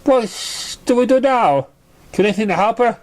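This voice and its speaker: A man's voice: very high voice, animated, normal speed, voice pushed up. A man voicing a loyal, concerned young girl pony questioning a friend in a story reading. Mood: concerned, encouraging.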